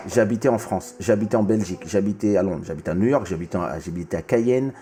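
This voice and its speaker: Low-voiced talkative male host. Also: fast talker, mellow. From Congo. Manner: talkative, stumbles and restarts often. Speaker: podcast host. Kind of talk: casual chat.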